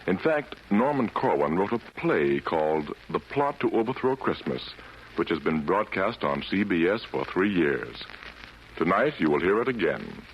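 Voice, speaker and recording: A man narrating a scripted 1940s radio broadcast as announcer, formal, measured and theatrical. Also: deep voice, big pitch swings, lo-fi sound, slight background noise.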